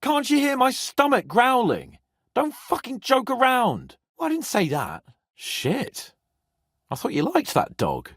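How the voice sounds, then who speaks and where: An actor voicing a proud young nobleman knight in a video game, haughty, flippant and expressive, given to grumbling and swearing. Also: very high-pitched, measured pace, creaky, husky, dry recording, studio quality, exaggerated voice. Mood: exasperated.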